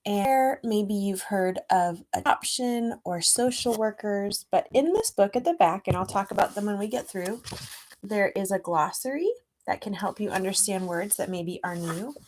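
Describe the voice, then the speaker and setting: A middle-aged woman speaking to children as an author at a read-aloud, explaining gently in simple words. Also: mid-range voice, expressive, moderate pace, a little hiss or hum, rough recording. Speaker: children's author reading own book aloud.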